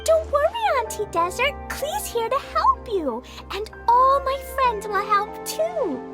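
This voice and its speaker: An eager, innocent little girl in a game cutscene. The voice is tiny and extremely high, lively in pitch and unhurried, with childlike earnestness over loud music. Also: heavily acted. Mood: reassuring. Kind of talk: video game cutscene voice acting.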